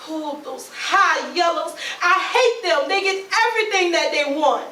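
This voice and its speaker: Very high-pitched woman playing a frightened, bitter young woman. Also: expressive, sharp tone, full character voice. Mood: jealous, hurt. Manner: pleading, anguished, drawn-out. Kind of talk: stage readers theatre acting. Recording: echoey, distant mic, faint music.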